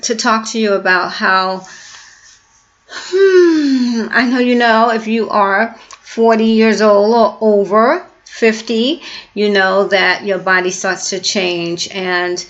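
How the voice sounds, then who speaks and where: A woman's voice: mid-range voice, slow. Chatty woman in her fifties, slightly lo-fi. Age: 50s. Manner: warm, chatty, many fillers and restarts. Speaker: health and purpose coach. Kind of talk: casual talking-to-camera vlog.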